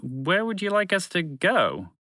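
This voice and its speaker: A man's voice: sits high, huge pitch swings, a little slow, bright, forceful tone, dry recording, studio quality, voice pushed up, heavily acted. A man voicing a puzzled, sensible male dog who questions things doubtfully in a children's story.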